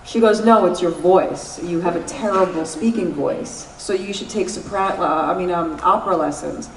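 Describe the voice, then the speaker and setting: A woman with a New York accent tells stories candidly, laughing and swearing as she goes. Her voice sits a little low, and it sounds roomy and slightly distant, with faint noise in the background. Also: moderate pace. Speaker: guest actress. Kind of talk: casual interview at a convention. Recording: echoey convention room, mic at a distance.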